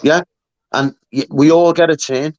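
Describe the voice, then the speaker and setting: A man's voice: a little high, steady tone, measured pace, a little mellow, no room sound, lo-fi sound. Sweary man in his fifties. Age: 50s. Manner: blunt, sweary, storytelling. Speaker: panel guest. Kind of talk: casual banter on a live stream panel.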